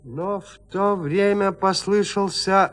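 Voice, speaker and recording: A man narrating a classic horror tale in an audio play, with theatrical, expressive delivery. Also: high-pitched, slow pace, faint music behind.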